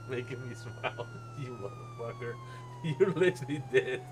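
A man's voice: very high voice, wildly swooping pitch, measured pace. A man streaming a role-play game live, bantering playfully with plenty of swearing and exclamations. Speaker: streamer role-playing a paramedic. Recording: sirens and vehicle noise behind. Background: game sirens and vehicle sounds.

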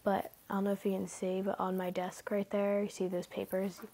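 A teenage girl talks to her camera from her bed late at night, stuffy from a cold. Her voice is mid-pitched and gravelly with fry. She rambles freely, stringing thoughts together with fillers and sometimes lowering to a near whisper. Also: completely flat delivery, a little fast. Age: teens. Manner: rambling, filler-heavy, often hushed. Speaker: vlogger. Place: bedroom at night, sitting on bed.

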